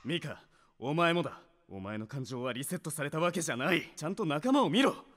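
A man playing a fiery young male team athlete in an anime visual novel, rough and forceful in speech. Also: a little high, expressive, fairly quick, long reverb tail. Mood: urgent, scolding.

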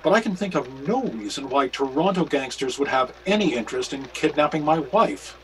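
Man playing a grave, higher-voiced reverend sleuth. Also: animated, a little fast, a bit bright, low-quality recording. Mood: grave, urgent. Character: grave, urgent reverend sleuth, worried husband. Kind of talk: radio mystery play acting.

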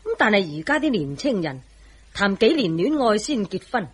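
A woman's voice: medium pitch, sing-song, normal speed, slightly rough. An actress playing a good-humored, reflective middle-aged mother in a Hong Kong Cantonese radio drama. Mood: musing.

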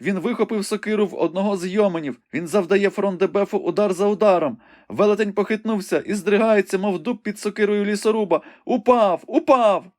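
A man's voice: sits high, a little fast, darkish tone, pitched above the performer's own voice, lightly acted. A man voicing a young woman who watches a battle from a window and cries out what she sees. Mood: urgent, fervent. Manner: breathless, exclamatory reporting. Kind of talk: audiobook reading.

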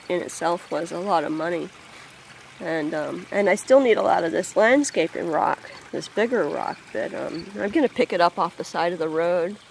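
A vlogger speaks outdoors into a handheld phone in a backyard. The voice is gravelly, with plenty of vocal fry. The talk is loose and rambling, with frequent ums and restarts, over a faint background hiss. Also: medium pitch, poor audio. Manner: rambling, hesitant with many ums. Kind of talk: casual spoken vlog. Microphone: phone held by the speaker.

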